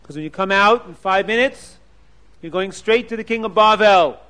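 A man's voice: high voice, moderate pace, sharp tone. A man voicing a blunt, stern officer of the king giving orders in a storybook tale. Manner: blunt, commanding. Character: blunt male king's officer, stern and matter-of-fact.

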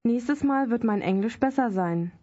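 A woman's voice: on the high side, expressive, warm tone. A woman modeling phrases for a language course, saying each one carefully. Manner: careful, deliberate pronunciation. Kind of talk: scripted phrase repetition. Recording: dull lo-fi studio recording.

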